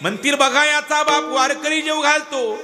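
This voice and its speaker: A man's voice: extremely high pitch, fairly even intonation, normal speed, music in the background, voice pushed up. A male preacher voicing a devout, grieving and proud father in a kirtan story, earnest and pleading. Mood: anguished, pleading. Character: saintly devout father, grieving yet proud of his son.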